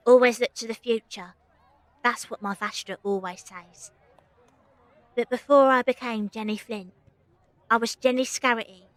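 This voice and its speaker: A rebellious young circus girl from Victorian times acted by a woman, with a crisp-edged bright voice pitched a little high. She is cheeky, informal and dreamy, speaking as the heroine of an audio drama. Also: dry recording, rough recording. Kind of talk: audio drama acting and first-person narration.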